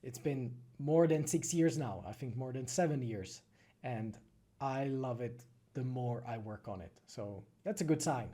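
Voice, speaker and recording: A man from the Czech Republic with a Czech accent, answering viewer questions casually on a livestream, phrasing things thoughtfully with frequent pauses. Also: mid-range voice, dark tone. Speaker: animated film creator and streamer.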